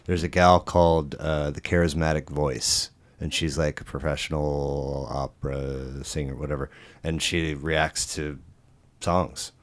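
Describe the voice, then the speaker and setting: A man hosting a podcast, talking casually and haltingly with many restarts and fillers. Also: very deep voice, level tone. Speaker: podcast host.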